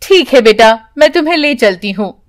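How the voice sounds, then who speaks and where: A woman's voice: a little high, lively intonation, moderate pace, close to an everyday voice. A woman voicing a worried, protective village mother in a children's story, anxious and emotional. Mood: reluctant.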